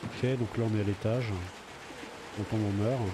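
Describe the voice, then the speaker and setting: Low-voiced man with a slight cold. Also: steady tone, normal speed, noisy background. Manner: chatty, muttering to himself while playing. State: a bit of a cold. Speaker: let's play gamer. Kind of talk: casual gameplay commentary.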